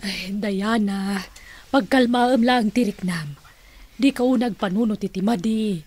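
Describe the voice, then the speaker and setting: A woman playing an evasive, worldly middle-aged aunt in a radio drama, with a brisk theatrical delivery. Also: medium pitch, a little mellow, slightly rough. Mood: concerned.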